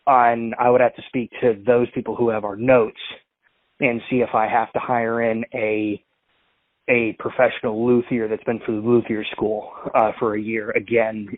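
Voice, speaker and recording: A man's voice: medium pitch, dry recording. Rambling man on a tinny phone line. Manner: rambling, full of fillers and restarts. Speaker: prank caller. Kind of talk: prank phone call.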